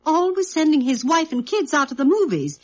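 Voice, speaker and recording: A woman's voice: high-pitched, very animated, brisk, darkish tone. A woman playing a blunt, gossipy, disapproving neighbour in a mid-century radio drama. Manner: blunt, chatty, complaining.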